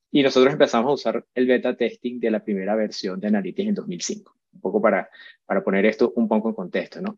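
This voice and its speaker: A man's voice: medium pitch, darkish tone, husky, dry recording. Man, 40s, chatty interview guest, muffled dry recording. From Venezuela. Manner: chatty, checks in with repeated "¿sí?" and restarts. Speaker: guest expert. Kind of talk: casual interview talk. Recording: dull, dry lo-fi sound.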